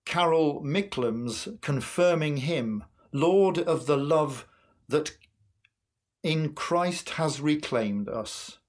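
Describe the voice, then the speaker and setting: A man in his sixties leading worship as a minister, reading a sermon and prayers in an earnest, measured way with deliberate pauses. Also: medium pitch. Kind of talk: sermon and prayer, read from a script. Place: small curtained room at home, indoors.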